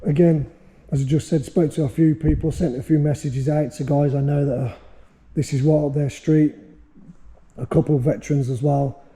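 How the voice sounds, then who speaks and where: A man with a hoarse, fading voice from a sore throat, hosting a casual workshop vlog and rambling with many fillers. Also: medium pitch, mellow, low-quality recording. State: losing his voice, sore throat. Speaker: workshop owner and channel host.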